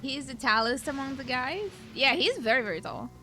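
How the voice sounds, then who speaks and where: A woman's voice: sits high, lively intonation, a little fast, music in the background. A woman gaming streamer giving casual let's-play reaction commentary.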